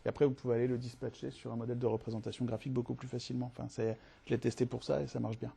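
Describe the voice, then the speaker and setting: A man, the host, adding quick, enthusiastic, informal remarks in a conversation. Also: medium pitch, little pitch movement, gravelly, warm tone, breathy edge. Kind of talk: conversational comment.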